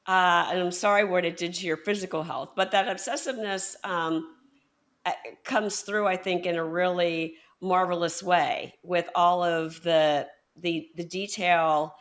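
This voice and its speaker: A woman's voice: on the low side, unhurried, a bit bright. A woman hosting a book podcast interview, talking in a hesitant way with many fillers and restarts. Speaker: podcast host. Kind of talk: conversational interview.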